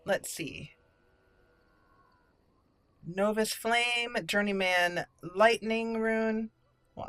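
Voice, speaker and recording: A woman's voice: medium pitch, a bit bright. Woman narrating a video game playthrough. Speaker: let's play video host. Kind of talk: gameplay commentary with in-character roleplay lines. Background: game music.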